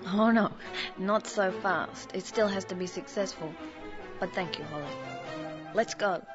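An actress playing an eager, flustered heavenly case worker in an audio drama, excitable and stubbornly hopeful. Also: female voice, medium pitch, a little slow, natural voice. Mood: anxious, hopeful. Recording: muffled audio drama mix, music and crowd.

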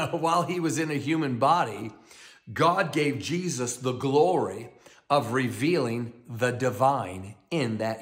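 A male preacher teaching the Bible outdoors in a valley meadow, with an enthusiastic, animated delivery, a loud voice, and frequent laughter. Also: mid-range voice. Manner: self-described loud voice.

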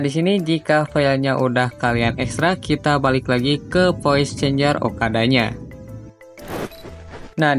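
Man giving casual tutorial over music. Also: mid-range voice. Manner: informal, repetitive step-by-step instructing. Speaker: tutorial host. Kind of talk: casual screen-recorded software tutorial. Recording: music behind the voice. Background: background music.

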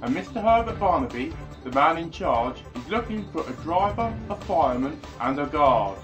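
A man's voice: on the high side, big pitch swings, moderate pace, muffled recording, music in the background. A man doing a formal TV newsreader.

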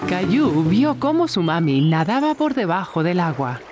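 A woman's voice: on the low side, sing-song, normal speed, over music. A woman narrating a scripted story for young children.

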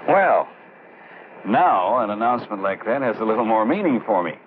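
A man's voice: fairly low pitch, big pitch swings, normal speed. A man playing a curious traveler in a 1960s educational film, asking questions conversationally. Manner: inquisitive, conversational. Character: curious male traveler learning about air traffic control. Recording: muffled old film soundtrack, faint hiss.

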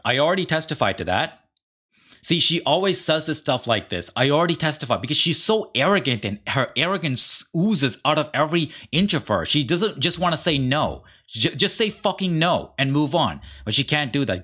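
A middle-aged man reads a court transcript aloud and breaks in with sarcastic commentary. His delivery is indignant and blunt, at an everyday pitch for a man. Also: a bit bright. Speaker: online commentator, show host. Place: indoor room in front of a green screen.

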